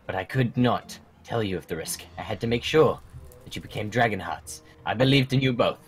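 A man playing a solemn dragon mentor in human form with a measured, portentous manner, in a gaming roleplay. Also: lowish voice, expressive, faint music behind, close to an everyday voice. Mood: solemn, instructive. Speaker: mentor training young dragon riders. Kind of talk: fan roleplay acting in a gaming video.

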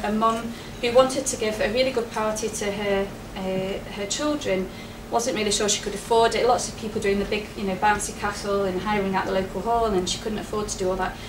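Chatty woman presenting in an echoey room. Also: medium pitch. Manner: chatty, laughs often. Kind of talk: public presentation. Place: wood-panelled meeting room with projector. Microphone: far camera, no mic. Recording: echoey room, distant camera, noisy.

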